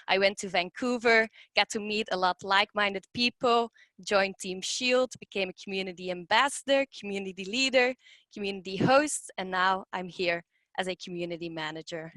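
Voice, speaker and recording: A woman's voice: medium pitch, a bit bright, no room sound. A Belgian woman whose first language is Dutch, speaking English with a Dutch accent, earnestly telling her story as a community manager. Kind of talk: personal story and class instructions.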